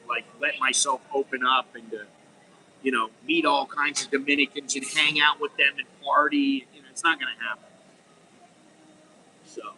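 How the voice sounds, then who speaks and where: A man's voice: on the high side, bright, forceful tone, a little hiss or hum, poor audio. A middle-aged American man talking frankly in a rambling, unscripted monologue, full of restarts and "you know.". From United States. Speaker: vlogger.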